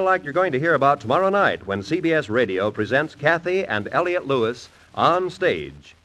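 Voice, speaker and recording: A man's voice: mid-range voice, fairly bright tone, poor audio. A man with an American accent reading scripted announcements in the style of 1950s network radio.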